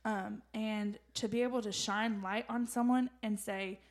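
A woman's voice: medium pitch, steady tone, unhurried. A young woman co-hosting a casual faith podcast, talking in a rambling way with many fillers and restarts. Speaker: podcast co-host.

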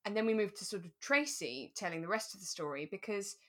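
A woman's voice: mid-range voice, lively intonation, fairly quick. British woman chatting as podcast co-host. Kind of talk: relaxed chat about a radio drama.

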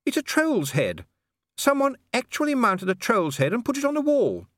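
A well-bred storybook noblewoman acted by a man, pitched high and very expressive. She is kindly and polite, given to genteel exclamations and gentle scolding. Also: normal speed, dry recording, clean studio sound, full character voice. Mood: appalled.

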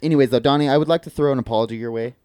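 A man's voice: medium pitch, rapid-fire, darkish tone, a little hiss or hum. A man co-hosting a podcast, bantering casually in a playful, crude-mouthed way. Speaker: podcast co-host.

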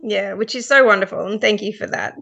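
A woman presenting a radio chat, friendly and quick to laugh. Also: medium pitch, vocal fry, fairly bright tone, highs cut off. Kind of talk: casual radio interview.

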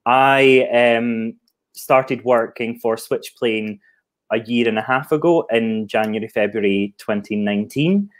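Scottish man, chatty, self-deprecating, video call, mid pitch. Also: unhurried. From Scotland. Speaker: guest, head of marketing. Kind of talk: casual chat on a live video call.

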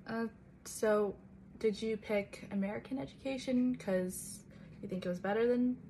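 A woman's voice: on the high side, moderate pace, mellow, slight background noise, poor audio. A young woman interviewing a fellow college student and asking curious, friendly follow-up questions in a casual way. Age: young adult.

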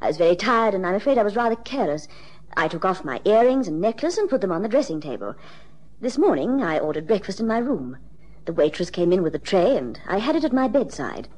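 A woman's voice: medium pitch, big pitch swings, fast, darkish tone, rough recording. An actress playing a genteel, well-to-do woman in her thirties in an old radio drama, proper and a little hesitant. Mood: earnest, explaining.

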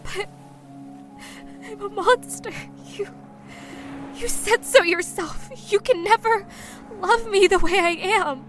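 A yearning young mermaid, fragile and anguished. Her female voice is very high, breathy and halting, pleading in audio drama style with music clearly behind her.

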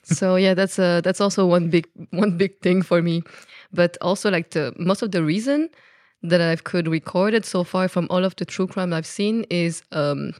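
A woman's voice: on the low side, normal speed, darkish tone, dry recording, studio quality. A woman from Belgium, a native French speaker with a Belgian French accent, explaining things candidly as a podcast guest in casual conversation.

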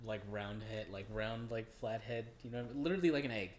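A man's voice: on the low side, a little fast. A young man co-hosting a casual podcast, chiming in with slangy, agreeable remarks. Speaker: podcast co-host. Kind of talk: casual chat.

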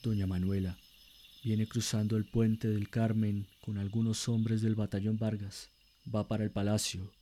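A man voicing a grave, respectful uniformed messenger, giving a hushed, formal report in a radio drama. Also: on the low side, little pitch movement, unhurried, a little hiss or hum, lightly acted.

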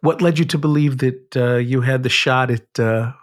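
A man hosting a podcast as a rabbi, speaking slowly with many hesitant fillers in casual interview chat. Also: medium pitch, no echo, studio quality. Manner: slow, halting, many uh fillers.

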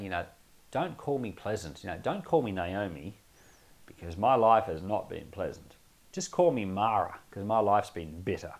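A man speaking as a pastor, giving informal Bible teaching in a conversational, explanatory way with emphatic repetition. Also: on the low side. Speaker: pastor and Bible podcast host.

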